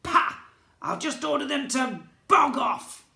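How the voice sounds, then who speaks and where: A teacher reading aloud and voicing a spoiled, tiny male goblin prince with a shrill, screeching voice. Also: expressive, moderate pace, gravelly, pushed and bright, heavily acted. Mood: shrieking tantrum. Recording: roomy echo.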